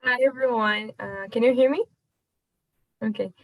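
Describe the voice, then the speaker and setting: Bright young woman. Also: a little high, normal speed, no echo. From Brazil. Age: young adult. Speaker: student panelist. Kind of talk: self-introduction on a panel.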